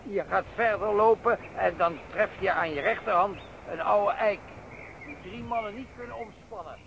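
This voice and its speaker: Older uncle: deliberate, creaky high voice, radio drama, dullish noisy recording. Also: male voice, dull audio. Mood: calm, instructive. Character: Ivan, unhurried older uncle giving directions. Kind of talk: Dutch radio play acting.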